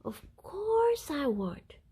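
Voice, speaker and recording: A woman's voice: extremely high pitch, big pitch swings, drawn-out words, mellow, pitched above the performer's own voice, heavily acted. A woman voicing a harried, firm mother elephant in a storybook, with an emphatic, scolding manner. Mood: assured.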